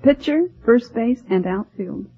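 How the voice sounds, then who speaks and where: A woman's voice: a little high, expressive, a little slow, very noisy. A woman in her twenties answering briefly and plainly as a quiz contestant. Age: 20s. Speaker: game show contestant. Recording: muffled 1940s radio recording.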